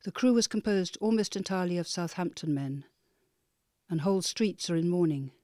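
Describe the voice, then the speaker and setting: A woman playing a sombre newspaper reporter, narrating a documentary drama in a grave, measured way. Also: fairly low pitch, expressive, dark tone, no echo, studio quality, lightly acted.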